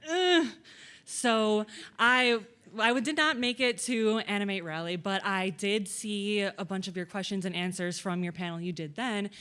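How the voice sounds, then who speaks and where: Clear-voiced woman asking a question. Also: medium pitch, fairly bright tone. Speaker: audience member asking a question. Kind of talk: spoken audience question.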